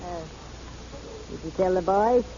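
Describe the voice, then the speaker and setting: A woman's voice: fairly low pitch, unhurried, warm tone, close to an everyday voice. An actress playing a sly, ruthless old mother of a gangster in a vintage radio drama, with a wheedling manner. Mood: sly, hard. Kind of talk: 1930s radio drama acting. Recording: muffled, hissy old radio recording.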